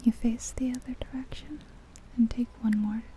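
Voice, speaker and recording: A woman softly narrating an ASMR guided visualization in a breathy, whispery, soothing voice, close to the microphone, with gentle pauses. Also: fairly high pitch, steady tone, a little hiss or hum. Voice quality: breathy, whispery soft-spoken voice. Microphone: close ear-to-ear ASMR microphone.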